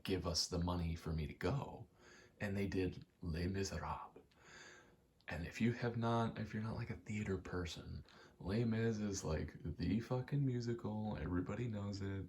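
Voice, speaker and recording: A young man in a casual, halting ASMR ramble, speaking softly and often slipping toward a whisper, with a self-deprecating, sweary manner. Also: sits low, a little hiss or hum. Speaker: ASMR creator. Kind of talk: rambling ASMR, casual personal chat while gaming.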